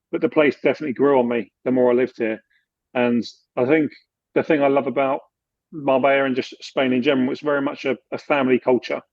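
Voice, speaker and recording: A man from the UK chatting in an interview, relaxed, with many fillers and restarts. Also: mid-range voice, no echo, muffled recording. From United Kingdom. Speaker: guest, expat finance expert. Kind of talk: casual interview conversation.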